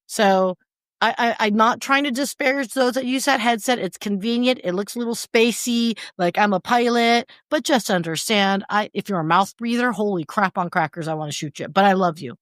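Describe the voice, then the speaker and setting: A woman's voice: mid-range voice, moderate pace, a bit bright, dry recording, clean studio sound. A woman co-hosting a casual podcast, blunt and jokey, with crude asides, speaking into a desk mic. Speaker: podcast co-host. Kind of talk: casual chat. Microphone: desk mic about seven inches away.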